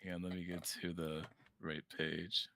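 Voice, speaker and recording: Woman with an extremely deep voice. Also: unhurried, creaky, slight background noise. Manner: calm, reassuring, greets chat. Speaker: livestream co-host. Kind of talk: casual livestream chat.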